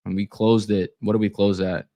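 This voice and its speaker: Hoarse young guy on a trading stream, kinda low voice, lots of um and uh. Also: little pitch movement, moderate pace, mellow, dull audio. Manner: casual, repeats words, frequent fillers. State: hoarse, losing his voice. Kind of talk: live trading stream chat.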